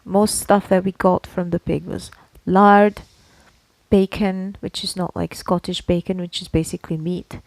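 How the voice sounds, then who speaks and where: A woman's voice: lowish voice, level tone, a little slow, darkish tone, dry recording, poor audio. A woman from Slovakia, co-hosting a casual podcast and explaining things in a chatty way, often checking that her listener follows. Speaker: podcast co-host. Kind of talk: casual conversational podcast chat.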